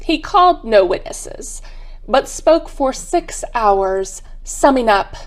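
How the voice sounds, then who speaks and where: A woman narrating a radio mystery in a measured, formal, dramatic storytelling style. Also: a little high, animated.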